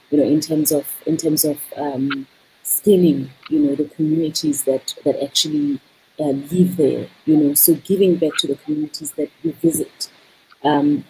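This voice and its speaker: A woman's voice: sits low, dark tone, slight background noise, poor audio. A South African woman in her thirties, a tourism business owner on a video call, talking animatedly with many repeated words and fillers. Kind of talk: casual video-call interview. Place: indoor room.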